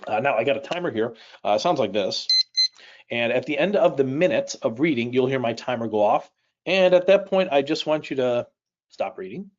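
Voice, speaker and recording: A middle-aged man raised in Chicago, a native Polish speaker, teaches a live online class at a mid-range pitch. He talks in a relaxed, conversational way, tosses questions to his viewers and adds small jokes. The stream audio sounds a bit dull and lo-fi. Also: moderate pace. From Chicago, United States. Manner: conversational, asks the audience questions, light jokes. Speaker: reading instructor leading a live online class. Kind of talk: live webinar instruction. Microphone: personal mic on a webcam live stream.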